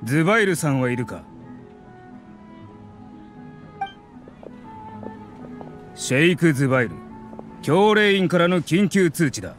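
男性が演じる、ゲームの冷淡な学院の役人。厳しく素っ気ない、見下すような話し方。. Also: male voice, a little high, very animated, brisk, soft background music. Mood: commanding. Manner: curt, stern, condescending. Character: cold, officious male academy official in his thirties.

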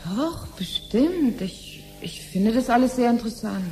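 A woman's voice: a little high, expressive, a little slow, a little mellow, soft background music. An actress playing a curious, evasive woman in a 1970s radio play, casual and a little hesitant. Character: curious, evasive married woman probing her sister with questions.

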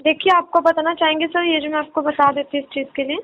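High-pitched woman on tinny phone line. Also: steady tone, quick pace. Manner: formal, polite, repetitive. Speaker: telecom helpline agent. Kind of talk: scripted customer service talk.

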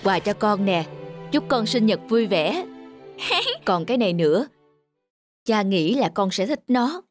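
An actor playing a gentle, doting elderly working-class father in a cartoon drama, speaking in a tender, plain, unhurried way. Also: high voice, big pitch swings, soft background music.

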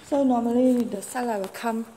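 Middle-aged woman, Singaporean accent, casual rambling chat, halting delivery, roomy echo, clear background noise. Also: a little high, steady tone, mellow. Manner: halting, rambling, repeats okay. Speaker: home vlogger. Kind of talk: casual unscripted vlog chat. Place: bare-walled flat and semi-open balcony corridor. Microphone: handheld or propped phone or tablet, varying distance. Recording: noisy, echoey amateur home recording.

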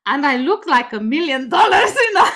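Lively woman in her fifties. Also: high-pitched, moderate pace. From Romania. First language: Romanian. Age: 50s. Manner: animated, laughs often, frequent "you know". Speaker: guest. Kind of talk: casual video call interview. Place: home room with bookshelves. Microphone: video call.

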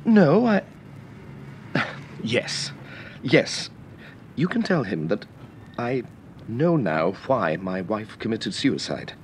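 An actor playing a courteous, anxious English gentleman in a vintage radio drama, with a British accent and hesitant, formal speech. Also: on the low side, big pitch swings, takes it slowly, long pauses. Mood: polite. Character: polite, anxious English gentleman, earnest and apologetic. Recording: noisy old radio drama recording.

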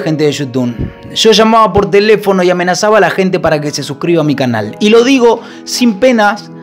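A young man with a Rioplatense accent, a YouTuber talking in a halting, mock-solemn confession, holding a mic close in a small kitchen. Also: high-pitched. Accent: Rioplatense Spanish. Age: young adult. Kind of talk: mock-serious confession vlog. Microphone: handheld mic held close.

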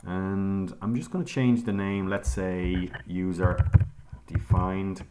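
A low-pitched male instructor narrates a screen-recorded software lesson. He moves through each click calmly, pausing on ums as he explains. It sounds like a desk mic in a quiet room. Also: background noise. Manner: calm step-by-step explaining with frequent ums.

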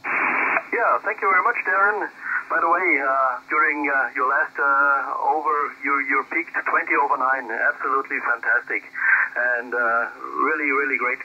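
A German-accented man comes through a ham radio, muffled and with some noise. His phrasing is hesitant and casual, at a middling pitch. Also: steady tone, normal speed. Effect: shortwave radio transmission. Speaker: amateur radio operator. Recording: dull, noisy radio speaker audio.

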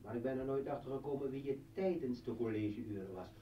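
An actor playing a bitter, drunken revolutionary leader and ex-husband in a radio play, taunting and theatrical. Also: male voice, mid-range voice, quick pace, far from the mic, lightly acted. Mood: mocking. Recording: dull, roomy old radio recording.

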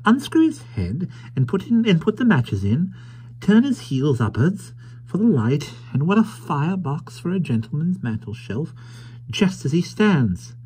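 A man voicing a timid, dreamy old working man in a storybook reading, hushed, wondering and rambling. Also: fairly high pitch, sing-song, unhurried, a little hiss or hum, voice pushed up, poor audio. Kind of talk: audiobook character voice.

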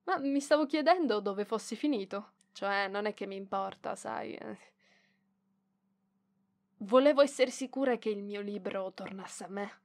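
Proud, flustered young librarian, acted by a woman, slow-paced. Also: mid-range voice, vocal fry. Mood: awkward, kind. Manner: hesitant stammering, defensive, bashful. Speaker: voice actress. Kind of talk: ASMR roleplay voice acting.

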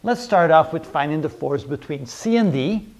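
Higher-voiced middle-aged man giving a lecture. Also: animated, normal speed, slight background noise. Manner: methodical, step by step. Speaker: engineering lecturer. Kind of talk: explanatory whiteboard lecture, working through a problem aloud. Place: indoors, in front of a whiteboard.

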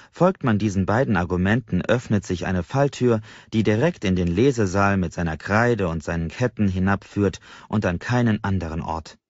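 A man with a fairly low voice narrates literary fiction. His delivery is expressive storytelling. The sound is dry, without room echo, and slightly dull. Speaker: audiobook narrator.

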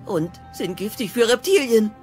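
A man's voice: high voice, animated, normal speed, soft background music. An actor playing a bookish, doting, easily panicked Viking boy in a cartoon audio drama. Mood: bookish, meek.

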